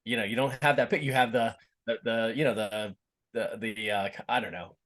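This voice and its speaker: A man's voice: lowish voice, steady tone, normal speed, fairly bright tone. A middle-aged man from Boston with a slight Boston accent, a guest chatting casually in an interview and often restarting his sentences. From Boston, USA. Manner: rambling, many restarts and fillers. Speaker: actor, podcast guest.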